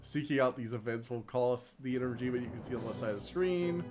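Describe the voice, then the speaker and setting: A man streaming a video game, chatting excitably and reading the game text aloud, laughing often, with a slightly whiny voice. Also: mid-range voice, fast. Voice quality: self-described whiny voice. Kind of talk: casual let's-play commentary, reading game text aloud. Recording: game music behind.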